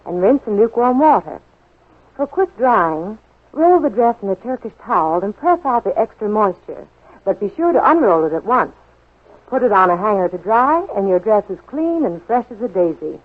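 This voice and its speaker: A woman's voice: mid-range voice, big pitch swings, moderate pace. A woman giving chirpy, instructive household tips in a scripted 1940s radio advertisement. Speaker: sponsor's spokeswoman. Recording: muffled old 1940s radio broadcast.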